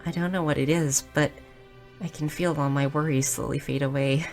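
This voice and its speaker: A woman's voice: low voice, fairly even intonation, normal speed, a little mellow. A woman playing a shy, clumsy, sweet young goblin girl who stammers earnestly in an intimate romantic audio roleplay. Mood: tender, at ease. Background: music.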